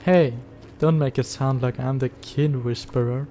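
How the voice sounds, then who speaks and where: A laid-back teenage schoolboy acted by a man in a comic drama. His voice is soft-edged and dark, and his delivery stays fairly level. He sounds dry and sarcastic, a skeptic who still cares. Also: medium pitch, steady tone, a little slow, slight background noise, lightly acted. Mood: concerned, challenging. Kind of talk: fan dub comic drama acting.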